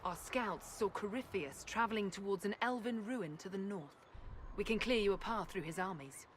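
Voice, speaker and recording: A woman's voice: mid-range voice, moderate pace, natural voice. Woman playing a dutiful, devout army captain. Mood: earnest, loyal. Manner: crisp, deferential military report. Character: dutiful, devout soldier captain reporting to leader. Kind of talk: fantasy video game dialogue. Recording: game audio with faint ambient noise.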